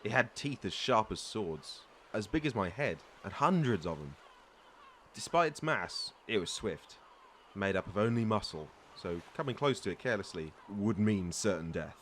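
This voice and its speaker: A man's voice: on the low side, expressive, normal speed, fairly bright tone, slight background noise. A man playing a boastful, good-humored veteran pirate and sea-beast hunter in a storytelling roleplay audio drama. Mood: dramatic, boastful. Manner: bragging, teasing, theatrical storyteller with hesitant asides. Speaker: voice actor reading a script.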